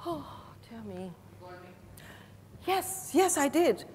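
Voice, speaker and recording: A woman's voice: high-pitched, sing-song, a little mellow, slight background noise, exaggerated voice. Woman acting swooping, flustered older businesswoman. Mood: exasperated. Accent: Indian. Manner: flustered, halting, exclamatory. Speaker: actor. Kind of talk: dramatized stage reading. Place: large hotel ballroom stage, indoors. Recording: echoey hall stage recording.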